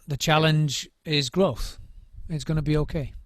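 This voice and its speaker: A man's voice: a little high, steady tone, measured pace. A middle-aged man, a drum circle trainer, giving thoughtful interview answers, often restarting and repeating words as he searches for what to say.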